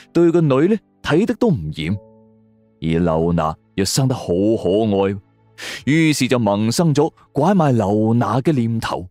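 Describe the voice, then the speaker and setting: A man's voice: fairly low pitch, darkish tone. A man with a Hong Kong Cantonese accent narrating a scripted suspense story in a theatrical, suspenseful storyteller's manner. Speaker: audio drama narrator. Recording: music bed under the voice. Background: background music.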